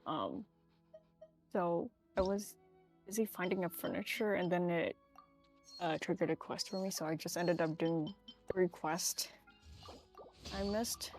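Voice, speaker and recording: A woman chatting casually about a game, halting and repeating words as she explains. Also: fairly low pitch, a little mellow. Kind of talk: casual gaming chat.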